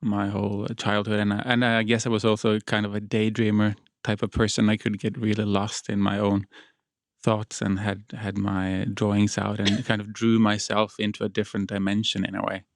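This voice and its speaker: A man in his thirties speaks low and flat, with a gravelly texture. He tells his story in a reflective, thoughtful way. The sound is close and dry. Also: moderate pace, dry recording. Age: 30s. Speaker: artist, panel guest. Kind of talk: casual storytelling.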